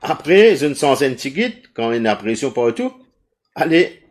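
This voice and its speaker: A man with a Mauritian accent speaking on an online panel, earnest, his pace shifting between quick and slow. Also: mid-range voice, sing-song. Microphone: video call.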